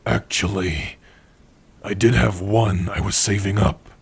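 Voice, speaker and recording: Orc blacksmith played by a man for ASMR roleplay: tender and proud, lowish pitch, very breathy rasp, intimate delivery. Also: fairly even intonation, exaggerated voice. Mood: fond, reminiscing. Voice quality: husky, breathy. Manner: slow, tender, measured delivery with long pauses. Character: gentle, proud male orc warrior-blacksmith, loving partner. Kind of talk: ASMR roleplay, intimate second-person acting.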